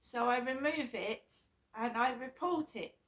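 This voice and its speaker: Middle-aged woman, vlogger, sore throat, slow rambling delivery full of fillers. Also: a little high, fairly bright tone. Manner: halting and rambling, many ums and restarts. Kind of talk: casual talk to camera.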